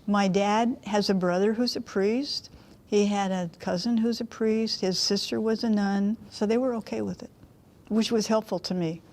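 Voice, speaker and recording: A woman's voice: medium pitch, steady tone, normal speed, darkish tone. A woman in her eighties, a nun, telling candidly about her homesickness and her family. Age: 80s. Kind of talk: oral history interview.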